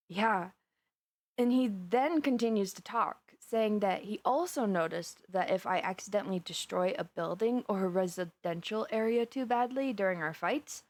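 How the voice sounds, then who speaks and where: A woman voice acting a giddy, flustered young villainess who rambles and gossips to her best friend in a conversational audio roleplay. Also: fairly high pitch. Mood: animated recounting. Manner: gossipy, rambling, animated storytelling with giggles.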